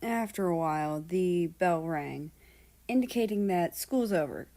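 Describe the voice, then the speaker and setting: A woman narrating fiction as an amateur reader, expressive and unhurried, acting out dialogue as she goes. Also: lowish voice.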